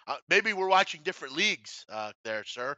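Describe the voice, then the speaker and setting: A man hosting a casual sports betting discussion, speaking bluntly with some swearing and halting asides. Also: on the high side, very animated, sharp tone, no room sound. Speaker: sports betting show host. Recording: slightly dull, dry lo-fi audio.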